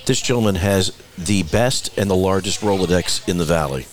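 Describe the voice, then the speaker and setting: A man's voice: sits low, normal speed. A man co-hosting a podcast, interviewing a guest in a small bar in a chatty, easygoing way. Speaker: podcast co-host. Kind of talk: casual interview.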